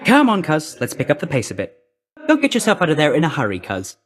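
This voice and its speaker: A cheeky young male messenger god for a video game. The voice sits fairly high and swings up and down constantly. He talks in a breezy, clipped, slangy way, like a chummy courier who is always in a hurry. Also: wildly swooping pitch, quick pace, a bit bright. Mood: breezy, hurried. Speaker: video game character actor.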